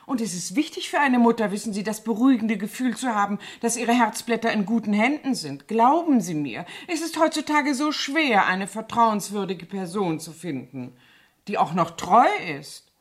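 A woman's voice: medium pitch, expressive, moderate pace, lightly acted. Actress as a sly, falsely naive cleaning woman, lilting. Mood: sweetly menacing. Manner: ingratiating, feigned innocence with quiet menace. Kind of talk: 1970s German radio drama acting.

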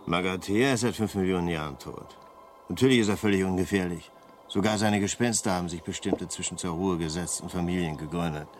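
Male actor as cocky galactic treasure hunter, lowish voice, breezy and dismissive, radio comedy. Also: fairly quick, close to an everyday voice. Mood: confidently dismissive. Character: cocksure, nonchalant male space adventurer hungry for riches. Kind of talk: radio comedy drama acting.

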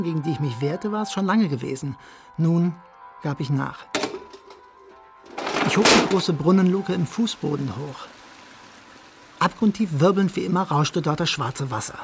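An actor playing a bitter, jealous, tormented man in a radio drama, with a literary, theatrical delivery. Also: on the high side. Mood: brooding. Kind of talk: radio drama acting with first-person narration.

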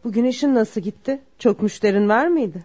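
Mellow, worried, doting mother. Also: on the high side, brisk, slightly rough. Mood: concerned. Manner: solicitous, fussing. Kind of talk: Turkish radio theatre acting. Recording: muffled lo-fi drama recording with music.

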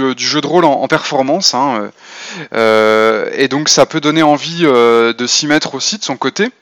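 A man's voice: medium pitch, fairly bright tone, no echo. Hesitant French-speaking man, dry sound. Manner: hesitant, many fillers and restarts. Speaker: podcast speaker on tabletop role-playing. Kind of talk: semi-prepared talk segment.

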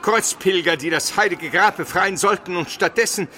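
A man's voice: high-pitched, moderate pace, bright, forceful tone, slight background noise. A man playing an educated, pious Byzantine minister on the run, solemn and formal, in a German radio play. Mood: grateful, indignant.

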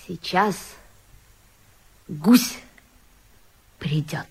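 A woman's voice: fairly low pitch, extremely slow, darkish tone, husky. A woman acting in a radio play, cool and ironic, coaxing in turns.

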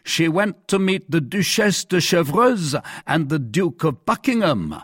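Storybook cardinal, played by a man: commanding, sly, condescending, animated pitch, husky, recorded dry. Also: unhurried, no room sound, heavily acted. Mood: stern. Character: commanding, cunning, falsely benevolent male cardinal.

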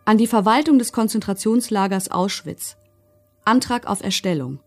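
A woman's voice: mid-range voice, animated, moderate pace, soft background music. A woman with a mid-range voice a commandant's wife in a radio documentary drama. She dictates in a curt, businesslike way, like someone filing a formal request. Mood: brisk, businesslike.

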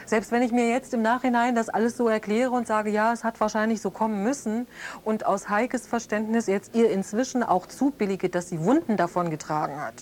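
A woman's voice: a little high, a little mellow, close to an everyday voice. A woman playing a reflective close friend being questioned in a naturalistic radio drama, thinking aloud and searching for words. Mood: earnest, troubled. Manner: thoughtful, searching for words, halting. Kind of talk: improvised naturalistic interview drama.

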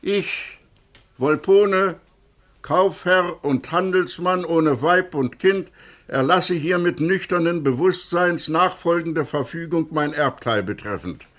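Stern, high-voiced, declaiming magistrate. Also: male voice, takes it slowly, a bit bright. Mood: solemn. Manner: formal, declamatory. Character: stern, formal male magistrate, pompous and measured. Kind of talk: theatrical German radio play acting.

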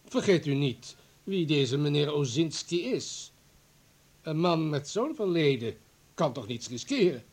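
An actor playing an anxious, insistent party official in a radio play, arguing formally and emphatically. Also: male voice, fairly high pitch, expressive, measured pace, darkish tone, slightly rough. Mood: agitated, exasperated. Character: anxious, insistent party comrade, hard and self-justifying official.

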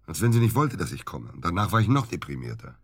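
A man acting in a German radio drama as an evasive, dejected doctor under suspicion, husky and halting. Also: low voice, sing-song, no room sound, clean studio sound, full character voice. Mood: dejected, defensive. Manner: halting, hesitant, defensive.

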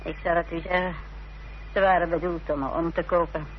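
A woman's voice: lowish voice, steady tone, brisk, natural voice. An actress playing an unrepentant village wife in a Dutch radio play, telling her story in a self-justifying way. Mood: defiant, unashamed. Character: unrepentant, defiant village wife confessing her part in a fraud. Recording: dull old radio recording with hiss and hum.

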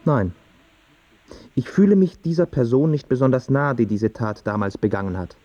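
A man's voice: medium pitch, moderate pace, dark tone, dry recording, dull audio, natural voice. An actor playing a stubborn, self-absorbed young male artist in a German radio play, argumentative and pretentious in his phrasing. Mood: detached, evasive.

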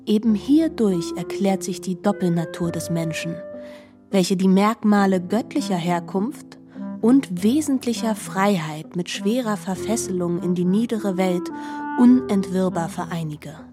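A woman's voice: medium pitch, a little slow. Literary narrator, female, mid-range voice, composed and articulate, set against instrumental music. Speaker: narrator of a literary radio adaptation.

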